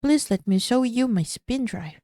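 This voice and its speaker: A woman voicing an excitable middle-aged male Russian scientist in a put-on Russian accent, speaking broken English and eagerly repeating words. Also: fairly high pitch, unhurried, dry recording, clean studio sound. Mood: proud. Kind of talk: casual read-aloud voice acting.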